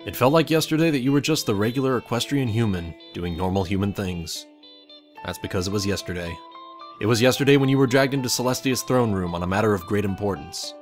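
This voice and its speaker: Low-voiced man narrating a story. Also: animated, fast talker, soft background music. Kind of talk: scripted second-person fan fiction narration.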